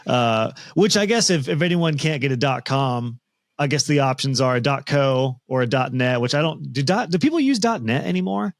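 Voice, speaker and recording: A man's voice: medium pitch, animated, dry recording. Chatty male podcast host, dry sound. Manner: curious, chatty, quick asides. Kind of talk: casual interview chat. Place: home studio, indoors. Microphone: studio mic on boom arm.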